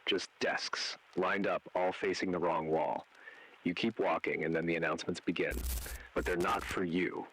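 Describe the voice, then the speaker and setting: A man playing an ominous agent who narrates an interactive horror warning in grave, deliberate, foreboding second-person script. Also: deep voice, level tone, fairly bright tone, slight background noise, low-quality recording. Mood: eerie, hushed suspense.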